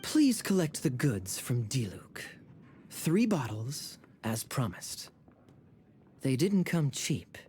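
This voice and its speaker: An actor voicing a suave, teasing young knight captain in a video game, with a smooth, wry, theatrical delivery. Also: male voice, medium pitch, sing-song, takes it slowly, a little mellow, a little hiss or hum. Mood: amused.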